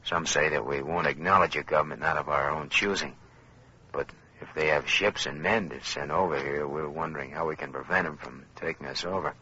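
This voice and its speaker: An actor playing a grave survivor giving folksy, matter-of-fact radio reports in an old-time drama. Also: male voice, extremely low pitch, fairly even intonation, a little fast, sharp tone, husky, heavily acted. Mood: grave, resigned. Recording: muffled vintage radio broadcast.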